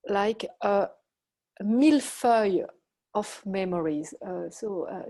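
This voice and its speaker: A woman's voice: mid-range voice, mellow. Reflective woman with a Belgian French accent. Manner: reflective, measured, with pauses and self-corrections. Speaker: professor giving a talk. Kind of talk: personal-anecdote lecture.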